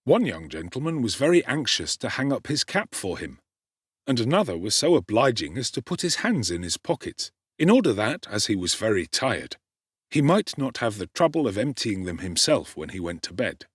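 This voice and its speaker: A man's voice: on the low side, sing-song, normal speed, slightly rough, dry recording, studio quality. A man giving a dramatic narration of a classic novel as an audiobook actor.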